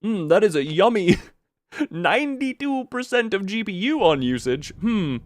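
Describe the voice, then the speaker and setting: A man's voice: sits high, very animated, unhurried, no room sound. A man chatting casually and goofily as a game streamer, rambling and often interrupting himself. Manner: goofy, rambling, self-interrupting. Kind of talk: casual livestream chat while setting up a game.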